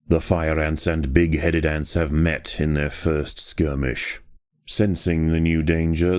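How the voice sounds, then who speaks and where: A man narrating a scripted nature documentary in a measured, solemn way with precise enunciation. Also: low voice, darkish tone, dry recording, studio quality.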